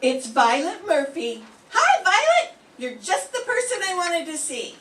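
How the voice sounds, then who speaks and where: Older lady playing a ditzy wide-eyed girl reporter, bouncy high voice, slow, echoey far mic. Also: wildly swooping pitch, a bit bright, exaggerated voice. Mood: naive. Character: scatterbrained naive young woman reporter. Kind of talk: amateur radio drama reading. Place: classroom.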